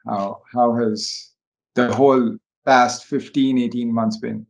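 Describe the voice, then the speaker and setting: A man hosting a conversational business interview, speaking haltingly and often dropping in "you know". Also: mid-range voice, level tone, vocal fry, dull audio.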